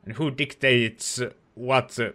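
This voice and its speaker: A man's voice: mid-range voice, fairly even intonation, slow, pushed and bright. A man giving casual, wry, informal reactions as he plays through a game. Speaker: let's play streamer.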